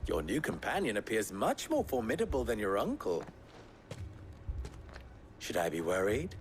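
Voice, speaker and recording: An actor playing a sly, sardonic middle-aged leader of a desert town in a game cutscene, with a theatrical, wordy manner. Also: male voice, fairly low pitch, wildly swooping pitch, moderate pace, a little hiss or hum. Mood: wry. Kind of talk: dramatic game cutscene acting.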